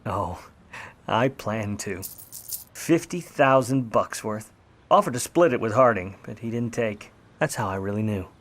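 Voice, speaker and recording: A man's voice: fairly low pitch, animated, unhurried, a bit bright. A man playing a hard-boiled, wry private eye. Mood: sardonic, insistent. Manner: slangy, sardonic, clipped tough-guy delivery. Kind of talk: old-time noir radio play acting and narration.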